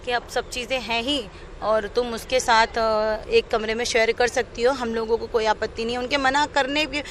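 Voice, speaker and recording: High-voiced woman earnestly recounting her story. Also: fairly even intonation, moderate pace, slight background noise. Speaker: interviewee telling her own account. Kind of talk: unscripted personal account in an interview.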